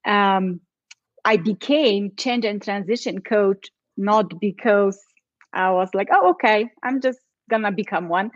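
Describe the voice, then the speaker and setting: A woman's voice: mid-range voice, dry recording, muffled recording. A woman from Poland with a Polish accent, a coach who tells her own story earnestly and with feeling in a podcast chat. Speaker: guest, change and transition coach.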